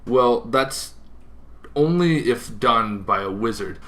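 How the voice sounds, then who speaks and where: A man's voice: mid-range voice, expressive, slow, a bit bright. A man hosting an informal explainer, talking in a rambling way with asides and self-corrections. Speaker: host of a tabletop gaming show.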